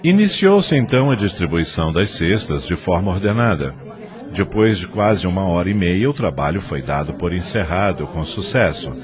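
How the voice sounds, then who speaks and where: A man's voice: sits low, animated, normal speed, a crowd in the background. A man narrating a scripted audio drama in a formal, literary storytelling manner.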